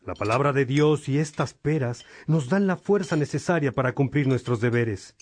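A man playing a gentle, devout monastic father in a radio drama, speaking in a fraternal, reflective way. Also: medium pitch, normal speed, natural voice. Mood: devout, earnest.

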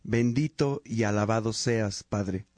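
A man playing a devout monk in a radio drama, praying and reading scripture in a solemn, measured way. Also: on the low side, a little mellow, no echo. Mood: reverent. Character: devout monk, friendly brother in community.

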